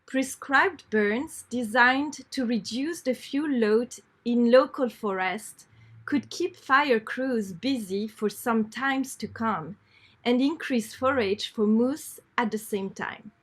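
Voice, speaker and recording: Slow-paced woman reading aloud. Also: on the high side. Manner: measured, announces quotation marks, repeats stumbled phrases. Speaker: reader. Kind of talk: reading an article aloud, unrehearsed.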